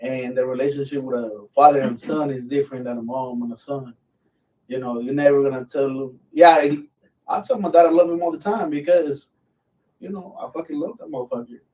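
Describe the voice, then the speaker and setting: A man's voice: on the high side, steady tone, fairly quick, darkish tone, far from the mic, rough recording. A middle-aged man from Mexico, a military veteran, telling stories in a blunt, easygoing way and swearing freely. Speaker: military veteran guest, channel host. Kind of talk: casual live chat.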